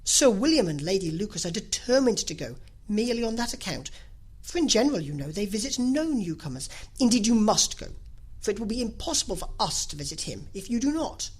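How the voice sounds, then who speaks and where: A woman's voice: medium pitch, big pitch swings, brisk, husky, pitched above the performer's own voice. A woman reading a storybook as a fussy middle-aged mother, nagging, exclamatory and full of complaint. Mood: exasperated, insistent.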